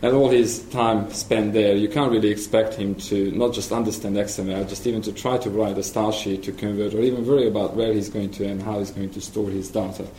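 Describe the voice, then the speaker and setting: A man with a Hungarian accent presents at a talk, pausing often with ums and restarting his sentences. His voice is lowish and mellow. The sound is roomy, with a faint hiss behind it. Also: steady tone. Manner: hesitant, many ums and restarts. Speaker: software engineer presenting. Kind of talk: conference presentation.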